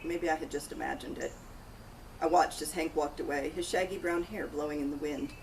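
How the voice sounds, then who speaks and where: A woman's voice: low voice, fairly even intonation, fairly quick, vocal fry. A woman author reading first-person narration from her own cozy mystery novel. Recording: distant mic, loud outdoor noise, birds, crickets.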